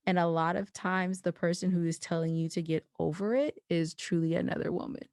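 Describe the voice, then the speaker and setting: A woman in a reflective podcast conversation, explaining her points deliberately in short phrases. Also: fairly low pitch, fairly even intonation, a little mellow, dry recording, clean studio sound. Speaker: podcast guest.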